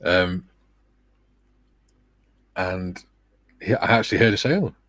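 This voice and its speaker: A middle-aged man hosting a relaxed livestream, reading viewer comments aloud with a soft, soothing, self-deprecating manner and frequent laughs. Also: deep voice, takes it slowly, dry recording. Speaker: livestream host.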